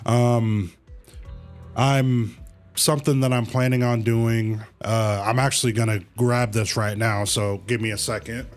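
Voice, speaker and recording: A man hosts a casual livestream in a middling-pitched voice. He takes his time, rambling and restarting in easygoing slang. Soft music plays behind him as he talks to his viewers. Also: little pitch movement. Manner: halting, slangy, easygoing rambling with repeated phrases. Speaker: live streamer, host of own channel.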